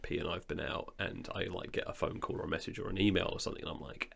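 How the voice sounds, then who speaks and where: A man co-hosting a relaxed, informal conversation in an indoor studio. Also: deep voice, fast, gravelly, breathy edge. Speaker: co-host, film and audio drama creator. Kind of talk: casual chat.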